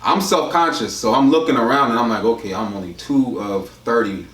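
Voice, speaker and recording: Fast-talking New man, distant roomy sound. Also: mid-range voice, fairly bright tone. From New York. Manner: fast, loose storytelling with restarts. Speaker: co-host. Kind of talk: casual conversation. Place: indoor room at a table.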